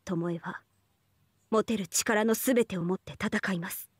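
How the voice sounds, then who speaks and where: A woman playing a devoted young half-oni samurai archer in a mobile game, speaking formal, reverent Japanese in a soft voice. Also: mid-range voice, unhurried, breathy edge, no room sound. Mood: solemn, earnest. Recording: studio voice over game music.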